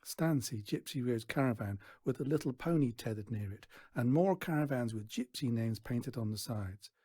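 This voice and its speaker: A person reading a children's novel aloud as an expressive storyteller, acting out the dialogue in an animated way. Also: mid-range voice, normal speed, husky, no room sound. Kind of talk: expressive scripted narration.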